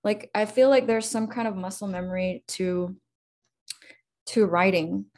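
A woman's voice: mid-range voice, a little slow. Chatty young woman artist giving advice. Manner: chatty, lots of "like" fillers, animated. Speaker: guest artist. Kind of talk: casual interview chat.

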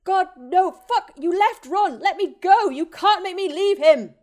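A woman's voice: very high voice, animated, unhurried, a little mellow, voice pushed up. A woman voicing a young man screaming in desperate, frantic grief.

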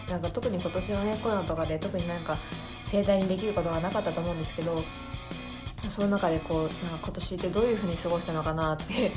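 A woman hosting a podcast, talking casually about her own life in a hesitant, meandering, self-deprecating way. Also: fairly low pitch. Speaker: podcast host.

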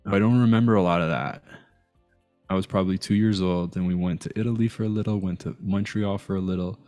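A man's voice: sits low, steady tone, fast talker, a little mellow, soft background music. A man from Iran who grew up in Canada, speaking as an interview guest in a thoughtful, searching way with hesitant phrasing. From Iran, raised in Canada. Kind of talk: reflective conversation.

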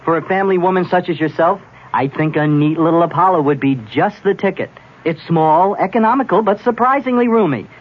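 An actor playing a droll, deadpan car pitchman with wordy patter in a comic 1970s radio commercial. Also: fairly quick. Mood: droll, persuasive. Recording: muffled, dull 1970s radio broadcast.

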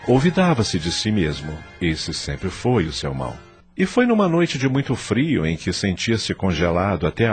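Male Brazilian narrator for radio drama: low, solemn, highly animated intonation, music beneath. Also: breathy edge.

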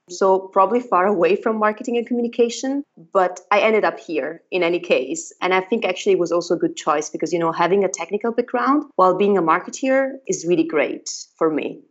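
A young woman from northern Italy with an Italian accent, chatting as a guest about her career, telling her story in a lively, self-deprecating way. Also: lowish voice, highs cut off. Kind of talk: conversational interview.

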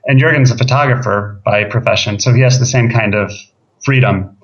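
A relaxed man from Ohio, chatting as a guest in an interview. He fills his talk with ums and repeats words as he goes. The recording sounds dull and lo-fi. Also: medium pitch, a little fast. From Ohio, USA. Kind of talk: casual interview chat.

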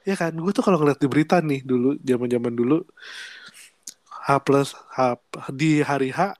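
A man's voice: mid-range voice, normal speed, dry recording. A young man with a Jakarta accent, co-hosting a podcast in casual chat, his voice a little nasal from a cold and full of fillers. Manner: relaxed slangy Jakarta chatter with frequent fillers. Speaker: podcast co-host.

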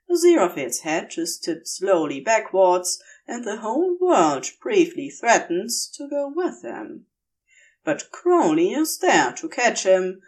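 A woman narrates fiction at an unhurried pace. Her voice sits at a middle pitch and moves in lively swings, shaping each phrase of the scripted story expressively. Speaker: fan fiction reader, narrator.

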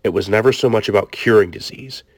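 A man's voice: lowish voice, no echo, lightly acted. A man playing a self-justifying research doctor in his late forties, formally reading a diary in an audiobook. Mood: clinical, explanatory. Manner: formal, measured explaining. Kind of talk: scripted audiobook diary monologue.

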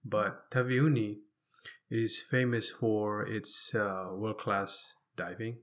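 A man from Fiji speaking as an interview guest, telling his life story conversationally and pausing often as he goes. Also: fairly low pitch, little pitch movement.

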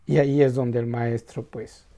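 A man telling a Zen story in a casual, halting way, full of restarts and tag questions. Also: mid-range voice, flat delivery, creaky, mellow.

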